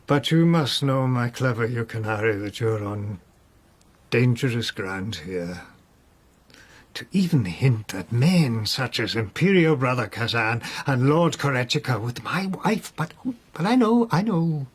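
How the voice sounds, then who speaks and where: A man's voice: medium pitch, lively intonation, unhurried, slightly rough. A wry older nobleman. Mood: amused. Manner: musing, playful, gently ironic. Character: older nobleman, wry, whimsical, evasive husband. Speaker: suspect in a radio play. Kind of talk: British radio drama acting.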